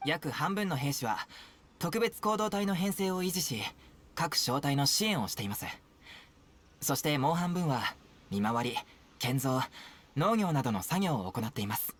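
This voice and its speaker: A man's voice: a little high, animated, fast talker, a bit bright. A man voicing a dutiful soldier in an anime game, reporting in a formal, polite manner. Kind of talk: Japanese anime game voice acting.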